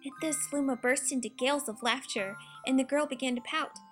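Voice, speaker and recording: A woman narrates a children's storybook in a high-pitched voice. She reads from the text as a bedtime-tale narrator, with music playing behind her. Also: brisk.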